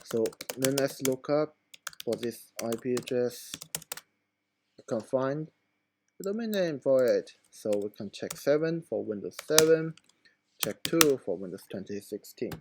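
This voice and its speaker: Man, hoarse, gravelly, relaxed tone, halting tutorial explanation, desktop mic, faint background noise. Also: mid-range voice. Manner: halting, informal explanation with many fillers. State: hoarse, losing his voice. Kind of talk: screen-recorded tutorial.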